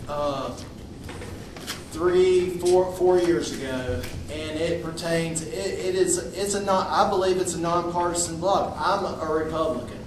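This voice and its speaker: A man speaking loudly and bluntly to a public meeting, with frequent restarts. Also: a little high, a little slow, recorded from a distance. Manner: loud, outspoken, with frequent restarts. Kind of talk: impromptu public address. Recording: echoey room recorded from afar, noisy.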